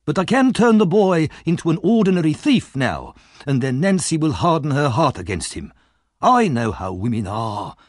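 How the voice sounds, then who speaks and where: A man's voice: a little high, very animated, moderate pace, no echo, clean studio sound, voice pushed up. A man voicing a scheming older gang boss in an audiobook story, coaxing and full of excuses.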